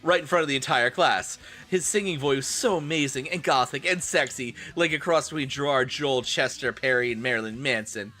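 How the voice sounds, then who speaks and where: A man streaming a comedic dramatic reading in a theatrical, expressive manner. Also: medium pitch, fairly quick.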